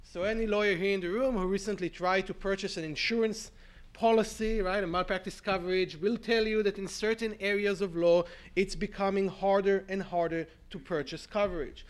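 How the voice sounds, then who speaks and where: A man with an Israeli accent giving a conference talk as a law professor, with a nasal voice and congested from a cold, talking in an animated way and often tagging his points with "right?". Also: sits high, a little fast. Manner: animated, frequent "right?" asides, self-deprecating.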